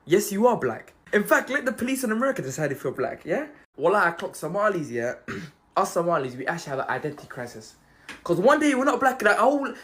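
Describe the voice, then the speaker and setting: Lively man in a comic rant. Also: a little high. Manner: lively, voice jumps up when mocking others.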